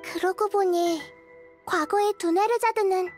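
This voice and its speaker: A woman playing a small, wise child deity in a game, speaking in a calm, measured, thoughtful way. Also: very high-pitched, soft background music. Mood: calm, explaining. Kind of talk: scripted game character dialogue.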